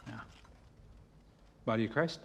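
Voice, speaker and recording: An actor playing a churchman offering communion, with a raspy, deadpan delivery. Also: unhurried, a little hiss or hum, close to an everyday voice.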